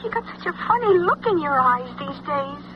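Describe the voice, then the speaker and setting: A woman's voice: high voice, expressive, a little fast. An actress playing a worried, exasperated woman in a radio drama, speaking in an emphatic, pleading, colloquial way. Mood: worried, tender. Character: worried, exasperated woman named Jenny, the everyman's partner. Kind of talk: old-time radio drama acting. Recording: dull, faintly noisy old radio recording.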